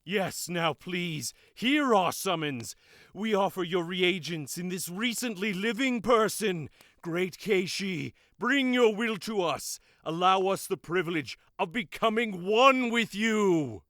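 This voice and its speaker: An actor playing a zealous, assured high priest of a cult in a fantasy audio drama, declaiming theatrically. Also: male voice, expressive, slow pace, fairly bright tone, dry recording, clean studio sound, full character voice. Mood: fervent chanting.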